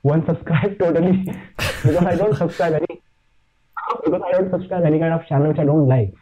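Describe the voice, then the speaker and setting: A man from India with an Indian accent, Hindi his first language, talking as a podcast guest in a halting way with many fillers and restarts. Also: on the high side, dark tone, breathy edge. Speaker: podcast guest, fitness YouTuber. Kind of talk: casual chat. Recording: tinny phone-like line.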